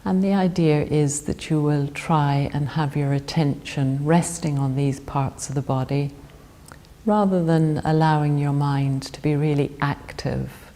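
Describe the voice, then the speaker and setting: A woman guides a body scan meditation. Her voice is low and mellow, and she speaks very slowly and gently, leaving calm pauses between phrases.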